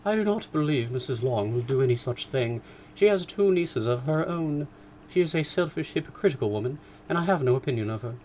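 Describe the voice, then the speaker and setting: A man voicing an excitable, complaining middle-aged mother, raised well above his own voice with emphatic swoops. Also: medium pitch, brisk, slight background noise, pitched above the performer's own voice, close to an everyday voice. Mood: resentful, scolding.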